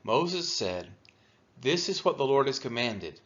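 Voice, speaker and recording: A man's voice: mid-range voice, sing-song, a little slow, fairly bright tone. A man reading scripture aloud expressively, as a reader for a church. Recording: dull, lo-fi sound.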